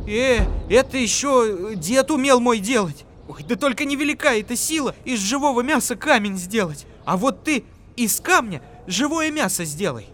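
A man's voice: very high voice, expressive, normal speed, hardly any pauses, a bit bright, slight background noise, voice pushed up. A man doing a cocky young hunter. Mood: bold. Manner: bold, mocking bravado. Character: young male hunter, frightened but cunning. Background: music.

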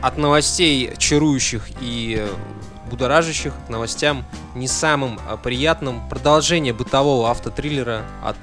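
A man's voice: mid-range voice, moderate pace, over music. A man hosting a podcast, introducing segments and asking teasing questions. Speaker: podcast host.

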